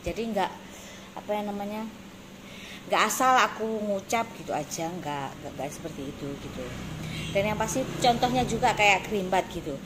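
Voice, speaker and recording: A woman's voice: medium pitch, expressive, normal speed. A woman in her thirties with an East Javanese accent, talking casually to camera in an emphatic, blunt, repetitive explaining way. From Indonesia. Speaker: beauty salon owner and course teacher. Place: small indoor salon office. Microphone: phone at arm's length. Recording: noisy phone recording with room ambience.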